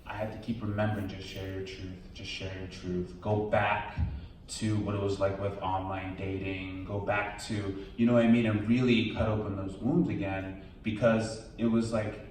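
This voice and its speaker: A man's voice: on the low side, flat delivery, normal speed, darkish tone, slight background noise. A man answering questions at a film premiere Q&A, talking candidly and casually and often quoting his own thoughts. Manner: candid, casual, quotes himself. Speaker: documentary subject. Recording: echoey hall, from far off.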